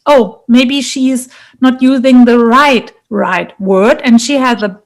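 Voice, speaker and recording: A woman's voice: fairly high pitch, slow pace. A woman from Germany with a German accent, answering in a conversational interview and often checking for agreement as she searches for phrasing. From Germany, Munich. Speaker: interview guest, leadership coach and businesswoman.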